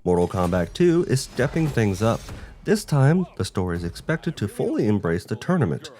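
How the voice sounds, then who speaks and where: A man's voice: on the low side, sing-song, moderate pace, background noise. A man co-hosting a video game show, reading a scripted presentation. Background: background music.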